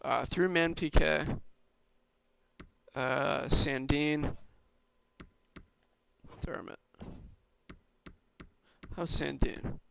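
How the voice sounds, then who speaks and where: A man with a slightly hoarse, raspy voice, casually commentating on his own game and thinking aloud with lots of fillers. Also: a little high, steady tone, drawn-out words, pauses often, a bit bright, a little hiss or hum. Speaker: gaming streamer.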